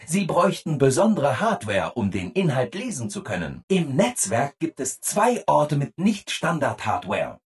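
Over-eager robot assistant, video game character, hugely swooping intonation, very husky rough-edged tone, relentlessly cheerful. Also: male voice, a little high, huge pitch swings, a little slow, few pauses, heavily acted. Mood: helpfully explaining. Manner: chirpy, over-eager, exaggeratedly obliging.

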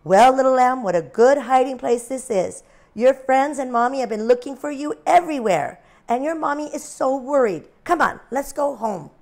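A woman's voice: high-pitched, expressive, normal speed, voice pushed up. A woman voicing a friendly sheepdog who speaks in a reassuring way in a storybook reading. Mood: kindly. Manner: warm and reassuring.

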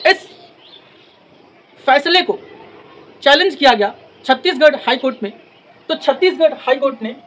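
Young guy teaching law, pretty high voice, deliberate phrase by phrase, repeats stuff for emphasis. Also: a bit bright, low-quality recording. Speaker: law lecturer. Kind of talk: explanatory lecture with English legal terms mixed in. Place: in front of a classroom blackboard. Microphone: lapel mic.

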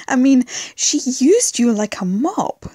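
Casting: woman to play sassy, menacing fantasy rogue, animated pitch, unhurried delivery, dry close sound. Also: dry recording, heavily acted. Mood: mocking. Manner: taunting, sassy, self-assured. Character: cocky, mocking, menacing female rogue troublemaker. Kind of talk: fan audio drama acting.

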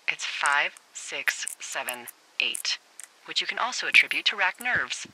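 A woman's voice: deep voice, big pitch swings, takes it slowly, sharp tone, slightly rough, exaggerated voice, poor audio. Wry woman over walkie-talkie. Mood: wry, exasperated. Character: wry, frazzled adult woman, fire lookout supervisor. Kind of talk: naturalistic video game dialogue. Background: game music.

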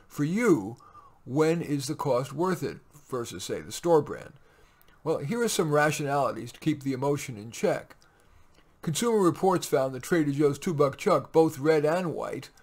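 An older man in his sixties talks straight to his webcam from a small home office. His voice sits a little high for a man, and he delivers his advice in a measured, lightly wry way. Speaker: host. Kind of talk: scripted advice talk to camera.

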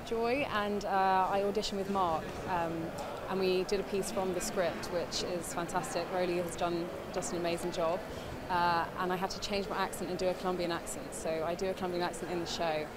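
A woman's voice: on the low side, flat delivery, brisk. A woman in her twenties interviewed at a busy indoor event, bubbly with many fillers. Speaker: actress interviewed. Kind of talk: casual interview. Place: large indoor hall, event. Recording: loud hall noise.

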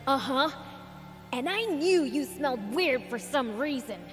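A woman's voice: high-pitched, expressive, a little slow. A woman voicing a brash, cocky young demon prince in a video game, boastful, blunt and flippant. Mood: nonchalant. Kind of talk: video game cutscene acting. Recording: long hall reverb, music underneath.

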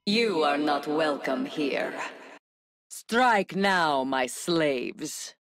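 Imperious dark queen, video game, very slow, reverberant, faint music. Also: low-pitched, lively intonation, heavily acted. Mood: cold, imperious. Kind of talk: video game character voice lines. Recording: long hall reverb.

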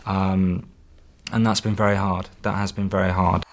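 A man speaking earnestly in a documentary interview, reflective and halting with many ums. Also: sits low, level tone, creaky, noisy background. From Britain. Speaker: emigrating husband.

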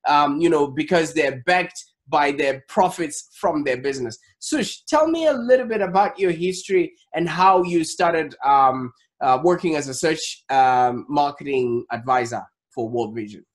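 A man's voice: fairly high pitch, unhurried, few pauses. A man in his thirties presenting an online interview show in an enthusiastic, wordy and emphatic way. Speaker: show host. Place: small home office, indoors. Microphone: desk microphone.

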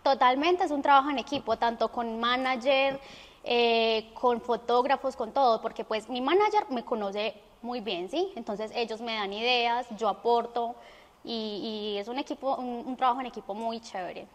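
High-voiced young Colombian woman, reverberant. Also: normal speed. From Armenia, Colombia. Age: young adult. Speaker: interview guest. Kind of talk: conversational interview answers. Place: indoor studio set. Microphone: lapel mic. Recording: reverberant studio room.

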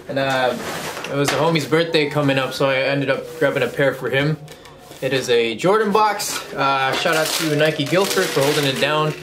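A man's voice: a little high, background noise. A man in his twenties giving a casual, slangy product review to camera in a laid-back way. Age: 20s. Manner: laid-back, slangy, addresses viewers as guys. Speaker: sneaker review host. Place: small furnished room at home, indoors. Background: background music with guitar.

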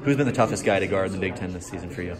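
Male sports reporter, quick low voice, rambling questions, crowded noisy room. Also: over music. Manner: long rambling questions with hedges. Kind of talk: postgame media questions.

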